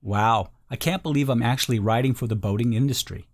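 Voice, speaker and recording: A man voicing a breezy young woman customer giving a casual, excited testimonial. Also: medium pitch, fairly quick, natural voice. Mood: pleased, amazed. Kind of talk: acted testimonial read.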